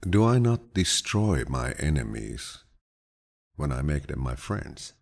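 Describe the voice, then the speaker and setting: A man reading quotations as an audiobook narrator in a deep, gravelly, husky voice, calm, soothing and grandfatherly in his measured storytelling.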